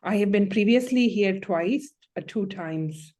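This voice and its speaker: A woman playing a visiting client in a mock hearing, answering questions in steady, explanatory sentences. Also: mid-range voice, unhurried.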